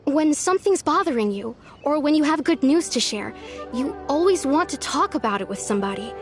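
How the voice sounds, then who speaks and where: Gentle young village woman, soft and wistful, higher voice, faint music underneath. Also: lively intonation, fairly quick. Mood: earnest. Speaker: game villager character. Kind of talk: video game voice acting. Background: faint game music.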